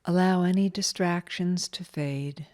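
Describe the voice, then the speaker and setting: A woman leading a scripted guided meditation in a calm, soothing, measured way with long pauses. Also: lowish voice.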